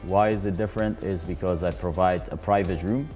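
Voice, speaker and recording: A man's voice: low-pitched, steady tone, a little fast, a little mellow, faint music behind. A man from Afghanistan, a studio guest who answers in an easygoing way, with many fillers and restarts. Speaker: studio guest, hostel owner. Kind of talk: conversational interview answers.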